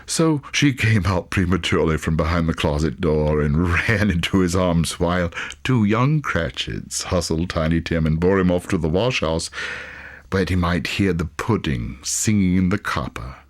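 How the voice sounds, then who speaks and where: Male audiobook narrator: low register, unhurried, highly animated, theatrical storytelling delivery. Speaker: audiobook reader.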